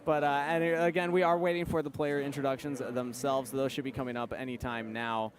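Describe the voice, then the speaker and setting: A man's voice: medium pitch, flat delivery, a little fast, crowd noise. A man casting a card game tournament, chatty and joking with his co-hosts while they wait for the players. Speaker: esports caster. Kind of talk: live tournament commentary.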